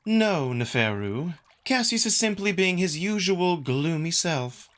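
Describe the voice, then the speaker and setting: A man voicing a sharp-tongued female alien wolf in a visual novel, teasing and scolding her brother. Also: high-pitched, lively intonation, measured pace, pitched above the performer's own voice. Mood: sarcastic.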